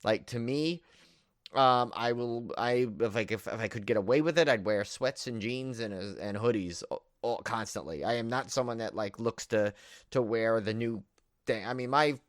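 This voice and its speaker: A man's voice: mid-range voice, normal speed, no echo, studio quality. A man co-hosting a podcast in casual film-review chat, rambling and repeating himself with enthusiastic emphasis. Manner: rambling, repeats himself, piles up "like" and "you know". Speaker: podcast co-host.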